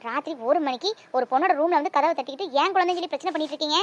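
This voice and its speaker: A woman's voice: high voice, very animated, fast. A woman playing a young mother who fiercely guards her child. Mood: angry. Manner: coaxing to her child, sharp when confronted. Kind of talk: Tamil drama acting.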